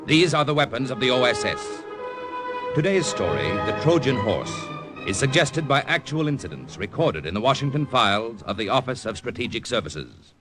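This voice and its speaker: Man, dramatic vintage radio announcer, medium pitch, old broadcast, loud music. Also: sing-song, moderate pace.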